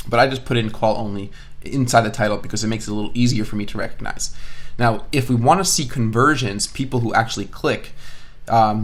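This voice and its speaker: Male course instructor, mid-range voice, casual and explanatory, narrating on-screen steps. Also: mid-range voice. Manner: casual, explanatory, thinking aloud. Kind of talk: screen-share software tutorial narration. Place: indoors at a computer, voice-over of screen recording.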